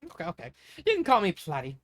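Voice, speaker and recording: A good-natured young prince read by an amateur storyteller, middle in pitch. He is affectionate and mild, with a slightly hesitant, playfully resigned way of talking in a fantasy story. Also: wildly swooping pitch, fast, fairly bright tone.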